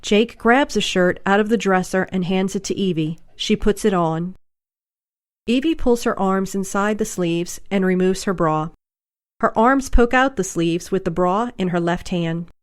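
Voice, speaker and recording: A woman narrator reading stage directions in an even, matter-of-fact way. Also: medium pitch, unhurried, clear, resonant tone. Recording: guitar music under the voice.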